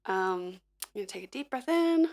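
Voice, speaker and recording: A woman hosting a livestream, gently talking viewers through a vocal warm-up at her piano with a slightly froggy morning voice. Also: medium pitch, lively intonation, normal speed. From Filipina-American. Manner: gentle, encouraging, instructive. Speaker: singer-songwriter and livestream host. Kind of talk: casual vocal warm-up coaching.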